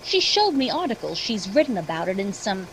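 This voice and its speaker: A woman's voice: medium pitch, sing-song, a little fast, fairly bright tone, a little hiss or hum, low-quality recording. An actress playing a plain-spoken, practical radio woman with a folksy, take-charge manner in a radio drama. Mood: casual.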